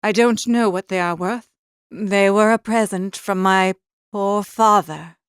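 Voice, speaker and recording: A woman's voice: mid-range voice, fairly even intonation, measured pace, clear, resonant tone, dry recording, studio quality, natural voice. A woman voicing a demure, anxious young lady in an audiobook, pleading politely. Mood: earnest insistent. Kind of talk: audiobook character reading.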